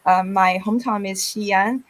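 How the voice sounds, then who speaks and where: A young woman from northern China, a postgraduate student, speaking in simple, soft-spoken phrases. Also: mid-range voice, steady tone, unhurried.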